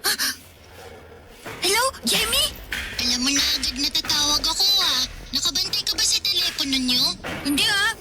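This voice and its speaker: A woman doing an earnest young girl, high voice. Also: lively intonation, moderate pace, pauses often. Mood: eager. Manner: pleading, earnest, childlike. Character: young girl waiting by the phone for her friend. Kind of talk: radio drama acting. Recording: loud music underneath.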